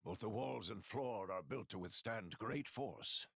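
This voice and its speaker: A steadfast, formal male companion from a video game. His husky voice moves widely in pitch and is recorded close and dry. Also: lively intonation, a little slow, a bit bright, dry recording, full character voice. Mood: grave. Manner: formal, precise. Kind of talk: video game cutscene acting.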